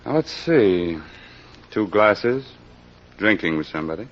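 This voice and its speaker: Gruff, deep-voiced homicide captain. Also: male voice, lively intonation, unhurried. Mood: businesslike. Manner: terse, grumbling, matter-of-fact. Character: gruff, world-weary male homicide police captain. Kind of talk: 1940s radio acting. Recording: dull lo-fi old radio recording.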